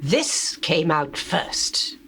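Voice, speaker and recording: An actress playing an earnest midwife in a theatrical Bible drama. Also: female voice, deep voice, big pitch swings, extremely slow, bright, forceful tone, exaggerated voice. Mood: astonished. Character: biblical midwife, astonished and earnest. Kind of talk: scripture dramatization, theatrical acting. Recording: hissy old cassette tape.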